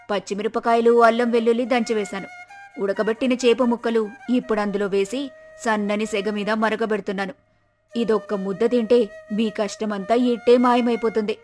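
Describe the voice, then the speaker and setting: A woman's voice: mid-range voice, close to an everyday voice. A woman playing a fearful, suspicious village fisherman's wife with a fretful, scolding way of talking in a moral story. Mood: proud. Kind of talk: acted moral story dialogue.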